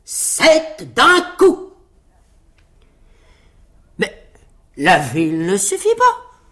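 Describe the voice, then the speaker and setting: Lady doing a tiny cocky tailor guy from a fairy tale, pitched way up, super swoopy, boastful. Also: wildly swooping pitch, extremely slow, fairly bright tone, full character voice. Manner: cocky, exclamatory. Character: boastful, plucky, nimble little male tailor. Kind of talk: fairy-tale storybook acting.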